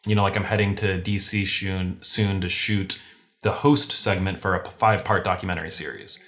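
A man who grew up in Venezuela, a podcast guest talking casually over a video call in a relaxed, rambling way. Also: deep voice, fairly quick. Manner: relaxed, rambling with fillers and restarts.

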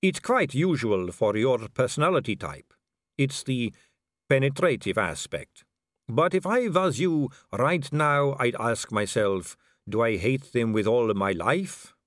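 A man voicing a suave, poised aristocratic female vampire, speaking slowly and silkily. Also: mid-range voice, expressive, no room sound, studio quality, natural voice. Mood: silky. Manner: slow, silky, languid delivery.

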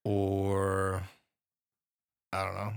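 A young man co-hosting a casual chat, loud and slangy with heavy swearing. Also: deep voice, completely flat delivery, extremely slow, vocal fry, clear, resonant tone, no echo, studio quality. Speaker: podcast co-host.

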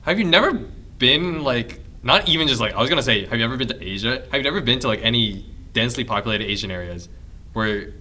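A man's voice: mid-range voice, animated, fast talker, bright, forceful tone. A young man telling rambling stories to friends in a casual podcast chat, full of fillers. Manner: rambling storyteller, many fillers. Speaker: podcast co-host.

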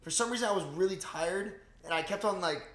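A man's voice: high voice, a little fast, a bit bright, roomy sound. A man hosting a podcast, casually recapping a movie in slangy, profane bro talk. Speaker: podcast host.

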